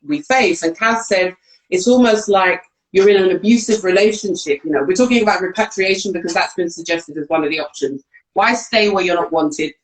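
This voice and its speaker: A woman's voice: fairly low pitch, roomy sound, rough recording. A woman from England hosting a live chat show and framing her questions thoughtfully, with some stumbles and restarts. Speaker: host. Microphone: video call.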